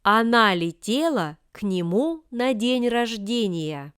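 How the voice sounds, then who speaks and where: A woman reading scripted example sentences for language learners with careful, deliberate enunciation. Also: a little high, animated, a bit bright, clean tone, no echo, clean studio sound. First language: Russian. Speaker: language lesson reader.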